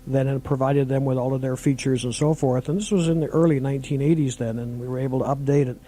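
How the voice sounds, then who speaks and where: Middle-aged guy co-hosting radio, mellow dark voice, telling old station stories casually. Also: medium pitch, steady tone, quick pace, a little hiss or hum, poor audio. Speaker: radio broadcaster and co-host.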